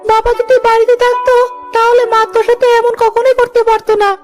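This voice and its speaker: An earnest little brother from a cartoon village tale, voiced by a woman. The boy's voice is extremely high and fairly even, protective and caring. Loud music runs behind it. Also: quick pace. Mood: reassuring, caring. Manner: earnest, childlike. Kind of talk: cartoon moral-story dialogue.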